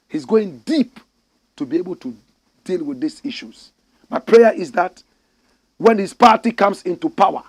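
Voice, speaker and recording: A man's voice: high voice, breathy edge. A man hosting a political commentary show, reading documents aloud and preaching emphatically to his listeners. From Ghana. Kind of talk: impassioned solo political commentary.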